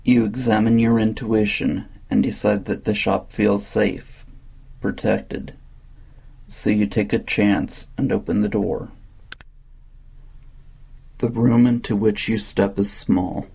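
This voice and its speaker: A man with a deep-set, fairly dark voice reads a guided meditation in a calm, measured way. His delivery stays very even and soothing, with a husky, gravelly grain to it. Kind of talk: slow scripted guided meditation narration.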